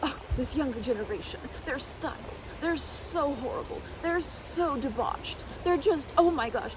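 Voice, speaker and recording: A woman's voice: a little high, background noise. A woman rambling casually and animatedly like a chatty best friend, slipping into mimicked quotes in a roleplay.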